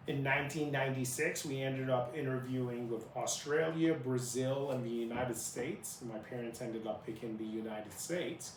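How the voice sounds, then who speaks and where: A man in his thirties from Iraq who grew up in Utah, a native Arabic speaker answering interview questions conversationally. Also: mid-range voice. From born in Iraq, grew up in Utah. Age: 30s. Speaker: interview guest, family lawyer. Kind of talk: conversational life-story interview. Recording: roomy, distant mic with faint noise.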